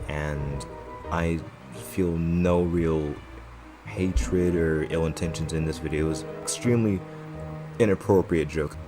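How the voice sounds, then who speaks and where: Male guest, extremely low voice, measured and earnest statement over clearly audible music. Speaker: guest vouching for a friend. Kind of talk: formal personal statement.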